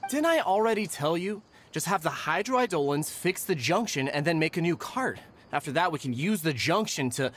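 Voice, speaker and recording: A man's voice: a little high, expressive, moderate pace, hardly any pauses, fairly bright tone. An actor playing an earnest, testy young male caretaker in a video game, fussy and articulate, with faint noise behind. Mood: indignant.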